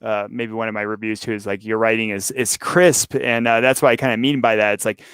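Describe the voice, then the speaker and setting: Smooth-voiced male host, close dry sound. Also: lowish voice, little pitch movement, fairly quick, fairly bright tone, no echo. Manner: rambling, repeats his words as he thinks. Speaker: interview host. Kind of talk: casual chat.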